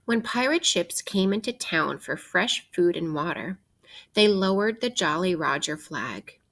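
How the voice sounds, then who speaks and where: Woman, teacher, lowish pitch, very slow pace, deliberate, instructive, interactive children's read-aloud. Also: lively intonation, fairly bright tone. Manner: deliberate, instructive, posing questions to young listeners.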